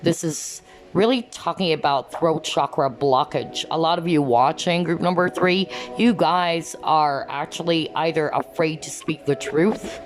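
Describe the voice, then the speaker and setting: A woman giving an earnest tarot card reading, often asking "okay?" as she talks, and her voice is going hoarse. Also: low voice. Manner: earnest, repeats "okay?" to viewers. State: losing her voice. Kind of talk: pick-a-card reading to camera.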